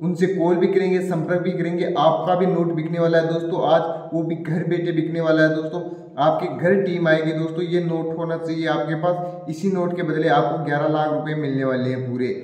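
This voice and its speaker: A man's voice: on the high side, flat delivery, brisk, darkish tone. A man, talking salesily to viewers and often repeating himself. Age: 20s. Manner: repetitive, keeps addressing viewers as friends. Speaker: video host. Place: small bare room indoors. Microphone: camera or phone set in front of him. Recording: very echoey room, distant microphone.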